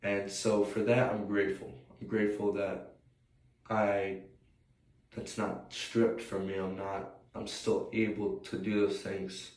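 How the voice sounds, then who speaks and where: A man's voice: lowish voice, level tone, takes it slowly, a little mellow. A young American man with a slightly scratchy voice, rambling hesitantly as he thinks aloud in a casual, unscripted personal vlog. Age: young adult. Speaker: vlogger. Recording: roomy, distant mic, faint noise.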